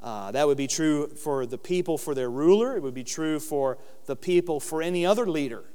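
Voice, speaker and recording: A man with a loud voice giving an earnest Bible lesson in sermon style, stressing key words slowly. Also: on the high side, animated, clean tone, long reverb tail. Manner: earnest, emphatic with slow stressed phrases. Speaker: Bible teacher.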